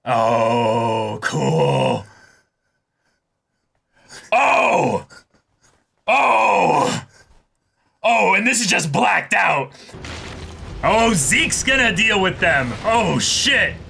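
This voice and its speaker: A man giving casual, excited reaction commentary, swearing and blurting out short exclamations. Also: sits high, extremely slow, gravelly, long pauses, husky. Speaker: reaction video host.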